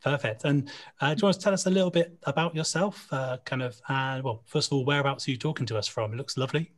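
A man moderating a live panel discussion over a video call, chatty and quick to laugh. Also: mid-range voice. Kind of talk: casual panel hosting.

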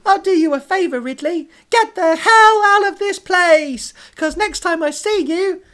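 A comedy podcaster voicing a brash, mocking gambling-den boss in his fifties with a squeaky, puppet-like cartoon voice. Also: measured pace. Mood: scornful, threatening. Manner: loud, exaggerated, mocking.

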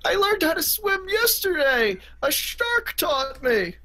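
A woman playing an excitable, naive little boy in an improvised cartoon fandub, giggly, rambling and given to shouting. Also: extremely high pitch, slow pace, background noise, exaggerated voice. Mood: goofy, excited.

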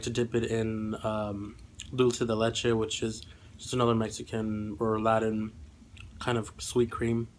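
Young male vlogger, average pitch, little inflection, mildly congested, hesitant off-the-cuff chat. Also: slight background noise. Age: young adult. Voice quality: slightly congested. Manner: hesitant, rambling. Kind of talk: casual eating vlog chat. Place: small room indoors at home.

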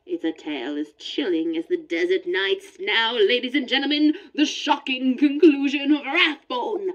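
An actress playing an ominous old-time radio announcer, introducing a serial in a grand, measured, dramatic style. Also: female voice, fairly high pitch, lo-fi sound, close to an everyday voice. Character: suave, ominous female announcer of mystery serial.

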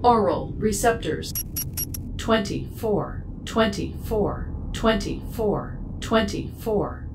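A malfunctioning female ship computer played by a woman repeats its alerts like eerie announcements in a sci-fi audio drama. There is clear noise around the voice. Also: medium pitch, animated, slow. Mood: flat alarm. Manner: repetitive, announcement-like. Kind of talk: science fiction audio drama.

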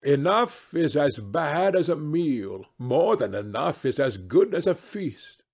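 A man's voice: on the high side, sing-song, takes it slowly, gravelly, a little mellow, breathy edge, exaggerated voice. A man voicing a witty, cynical English aristocrat in a novel reading, languid and self-amused, speaking in epigrams. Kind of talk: dramatised classic novel reading.

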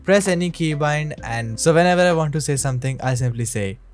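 A man narrating a scripted software tutorial as an upbeat, instructional YouTube host who addresses viewers directly. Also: on the high side, lively intonation, a little fast. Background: background music.

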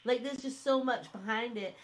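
A woman's voice: fairly high pitch, moderate pace, slight background noise, low-quality recording. A woman hosting an online radio show who talks in a chatty, rambling way, full of fillers like "you know what I mean". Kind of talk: casual interview chat.

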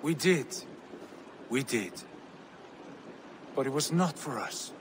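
An actor playing a weary Viking chieftain back from a voyage, speaking in a subdued, low-key way. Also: male voice, animated, takes it slowly, background noise.

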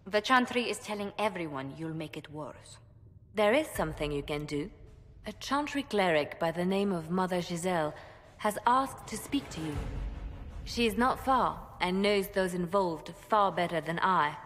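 An actress playing a poised female ambassador who speaks in a gracious, measured, formal way. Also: on the low side, lively intonation, fairly bright tone, big-room sound. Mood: composed. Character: poised female court ambassador, polite and diplomatic.

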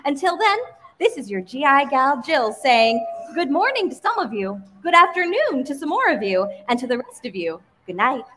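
An American woman hosting a 1940s-style radio variety show on stage, with an animated, theatrical delivery. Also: high-pitched, normal speed. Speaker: radio show host and presenter. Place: stage in a museum event hall. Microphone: stand microphone on stage.

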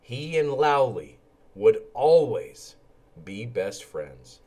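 A man narrating a children's storybook in an expressive, animated way and acting out the characters' lines. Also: mid-range voice, slow. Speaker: read-aloud storyteller for children.

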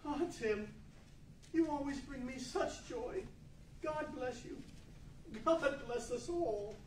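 A woman's voice: high voice, lively intonation, slow pace, darkish tone. A woman playing a tender, loving working-class parent, affectionate and earnest, in a radio play. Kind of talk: 1940s radio play acting. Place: large meeting hall before an audience. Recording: distant echoey hall, faint noise.

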